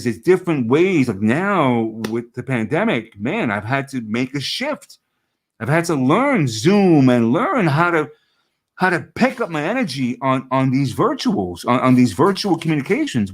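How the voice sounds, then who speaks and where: A middle-aged man with a low voice, a coach giving an earnest motivational talk over a video call, often restarting and repeating words.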